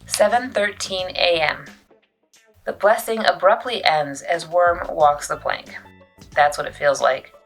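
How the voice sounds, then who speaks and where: A woman reading a children's novel aloud as an expressive storyteller, with dramatic pauses and emphasis. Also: lowish voice, soft background music, low-quality recording. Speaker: youth services librarian reading a book aloud.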